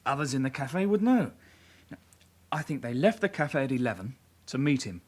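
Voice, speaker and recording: A man's voice: mid-range voice, very animated, moderate pace, darkish tone. A man playing a young detective constable in a radio drama, reporting in a clipped, matter-of-fact way. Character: young male detective constable, plain-spoken loyal side-kick.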